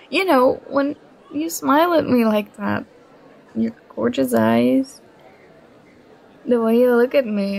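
A woman's voice: high-pitched, creaky, exaggerated voice, poor audio. A young woman playing a nerdy, affectionate girlfriend who gets flustered and bashful, in an ASMR roleplay. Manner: bashful, halting, playfully protesting.

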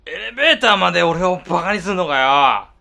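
A man's voice: high-pitched, animated, a little fast, vocal fry, sharp tone, full character voice. A man playing a cocky, short-tempered senior office worker in a comedic radio drama, swaggering and then grumbling under strain. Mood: fuming.

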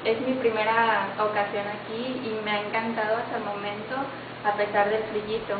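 A woman's voice: medium pitch, level tone, normal speed. A young woman with a Mexican accent speaking as a pageant contestant. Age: young adult. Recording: tinny, echoey, noisy distant recording.

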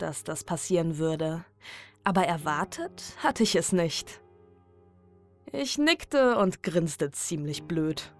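A woman narrating a scripted first-person horror story in a measured, dramatic manner. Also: fairly low pitch.

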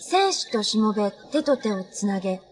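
An actress playing a solemn woman reciting ancient verse in a measured way in a TV drama. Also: mid-range voice, big pitch swings, slight background noise, lightly acted. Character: solemn woman reading out ancient prophecy translations.

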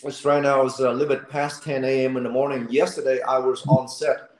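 A man from Vietnam, a guest on a video call, friendly and a bit tired, pausing often with fillers. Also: mid-range voice, level tone, roomy sound. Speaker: guest, actor and fight choreographer. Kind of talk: interview answers over video call. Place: room at home. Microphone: video call webcam.

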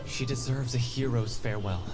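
A man playing a grave, dignified theatre troupe member in a video game, solemn and deliberate. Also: medium pitch, over loud music, natural voice, low-quality recording.

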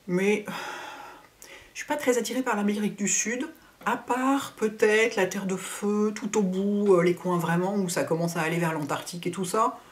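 Woman vlogger doing a q&a, kinda low voice, opinionated, casual and colloquial. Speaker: beauty vlogger. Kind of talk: casual Q&A chat to camera. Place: living room at home, indoors.

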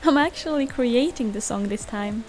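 A woman's voice: a little high, moderate pace, a little mellow, soft background music, close to an everyday voice. A woman voicing a bubbly, chatty young cat-eared pop singer in a visual novel fan dub. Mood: playful, proud.

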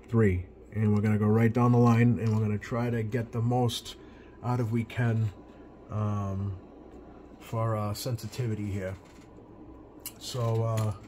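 Casual man with clear background noise. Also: medium pitch, warm tone. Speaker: radio repair hobbyist giving a tutorial. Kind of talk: casual hands-on tutorial. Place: indoor electronics workbench. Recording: clearly noisy workshop recording.

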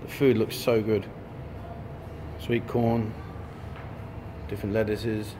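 A man's voice: lowish voice, flat delivery, slow pace, mellow, a little hiss or hum. A man in his forties, filming a casual food vlog on a handheld phone inside a restaurant, chatty and enthusiastic, repeating words for emphasis. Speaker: vlogger. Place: restaurant indoors. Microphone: handheld phone camera.

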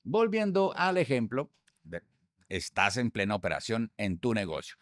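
A man, hosting a tutorial in an upbeat, conversational way with a few hesitations, recorded on a studio mic on a boom arm. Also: wildly swooping pitch, normal speed, no echo. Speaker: host. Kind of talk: tutorial explaining restaurant software. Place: studio room.

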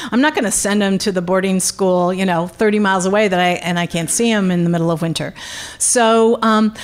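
A middle-aged woman speaks at a podium microphone in a conference room, giving an animated history lecture. Her voice sits in a middle register, and her delivery is loose and conversational, with frequent fillers and self-corrections. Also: mid-range voice. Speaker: guest lecturer. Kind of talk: public history lecture with audience questions. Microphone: podium microphone on stand.

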